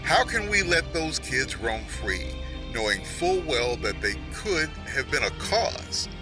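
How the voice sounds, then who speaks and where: A man's voice: mid-range voice, sing-song, slow pace, music in the background. A man playing a suspicious, accusatory media pundit in an audio drama. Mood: indignant, sneering. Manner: accusatory, incredulous ranting. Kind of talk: broadcast debate in an audio drama.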